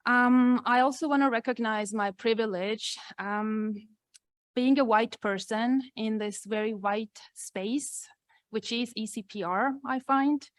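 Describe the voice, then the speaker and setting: A woman academic introducing herself on a panel in a measured, formal manner. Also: on the high side, dry recording. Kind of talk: prepared panel self-introduction.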